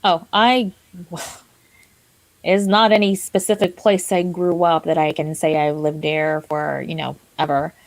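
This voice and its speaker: A woman from Texas, a guest in casual panel talk, measured and reflective. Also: sits low, level tone, no echo, poor audio. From born in Texas, grew up moving across the US South.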